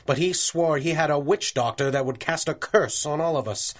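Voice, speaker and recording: A man's voice: a little high, normal speed, natural voice. A stubborn judge from an old radio serial, acted by a man. He talks in a brusque, dryly sardonic way, with the clipped theatrical style of 1930s radio. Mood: wry, brusque. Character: gruff, wry, stubborn male judge in 1930s radio drama.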